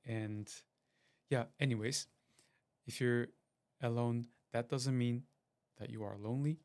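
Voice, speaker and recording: A man's voice: mid-range voice, fairly even intonation, unhurried, darkish tone. A man from Switzerland, hosting a podcast and chatting in a rambling way with lots of fillers and restarts. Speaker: podcast host. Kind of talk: casual solo podcast intro.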